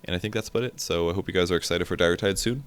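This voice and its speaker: Young man, very deep voice, fast talker, tired, rambling casual vlog, desk mic. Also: smooth. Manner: rambling, relaxed, filler-heavy. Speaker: gaming video creator.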